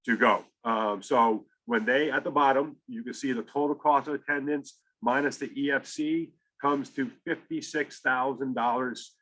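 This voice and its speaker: A man's voice: mid-range voice, fairly even intonation, normal speed, few pauses, bright, forceful tone, poor audio. Man giving an informal explanatory presentation. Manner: conversational, step-by-step explaining. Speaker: college counseling director presenting to parents.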